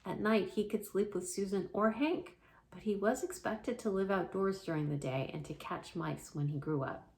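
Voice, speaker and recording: A woman reads a children's storybook aloud. She has a gentle storytelling manner, like a librarian at story time, and her voice sits at a medium pitch for a woman. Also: moderate pace.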